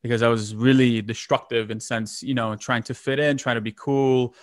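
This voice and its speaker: A man's voice: mid-range voice, steady tone, normal speed, no room sound, studio quality. A man in his late twenties from Lithuania, telling his story in a casual conversation, rambling with many fillers and restarts. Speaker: guest.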